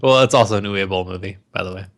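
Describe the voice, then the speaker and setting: A man's voice: sits low, quick pace, fairly bright tone. A man co-hosting a podcast in casual, crude banter about movies, with plenty of swearing. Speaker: podcast co-host.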